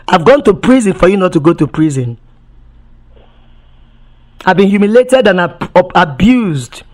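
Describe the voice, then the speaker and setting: A woman's voice: fairly low pitch, a little mellow. An impassioned woman activist testifies at length. She speaks emphatically, piles up rhetorical questions and often trips over repeated words before pushing on.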